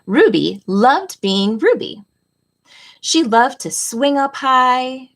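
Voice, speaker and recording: A middle-aged woman hosting a read-aloud for children as a tutor, speaking in a deliberate, expressive storytelling manner. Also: high-pitched.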